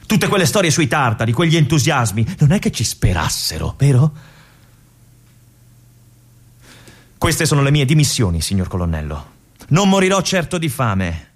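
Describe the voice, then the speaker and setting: A husky, disillusioned young lieutenant. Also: male voice, mid-range voice, wildly swooping pitch. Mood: bitter. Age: young adult. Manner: confiding, pleading, with weighty pauses. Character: young army lieutenant at a remote fortress, disillusioned. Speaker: stage actor. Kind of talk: theatrical monologue addressed to a colonel.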